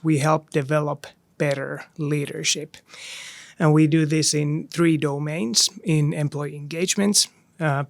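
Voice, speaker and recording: Gravelly man explaining his business. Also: fairly high pitch, no room sound. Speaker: startup CEO guest. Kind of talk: podcast introduction.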